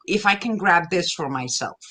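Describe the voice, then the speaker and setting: A woman's voice: on the low side, animated, moderate pace, pushed and bright. A woman co-hosting a livestream in casual chat over a video call. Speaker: livestream co-host.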